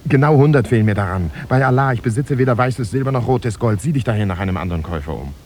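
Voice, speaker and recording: An actor playing a stubborn, quick-tempered, penniless young man in a 1950s German radio drama, curt and emphatic. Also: medium pitch, animated, darkish tone, close to an everyday voice. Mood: stubborn refusal. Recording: noisy 1950s radio recording.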